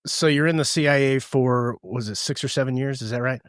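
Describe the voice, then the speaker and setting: Soft-spoken host, dry sound. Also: male voice, mid-range voice, fairly quick, clear, resonant tone, no room sound. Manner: hesitant, trails off softly. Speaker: podcast host. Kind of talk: interview questions.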